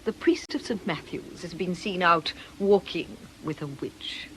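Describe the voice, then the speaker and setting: A woman's voice: on the low side, unhurried, fairly bright tone, slight background noise, low-quality recording. An actress playing a bitter, mocking middle-aged witch in a theatrical radio drama manner. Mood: mocking amusement.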